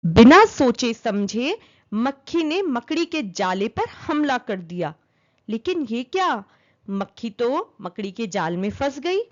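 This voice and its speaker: A woman narrating a children's story as an expressive storyteller. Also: on the high side, takes it slowly, lo-fi sound.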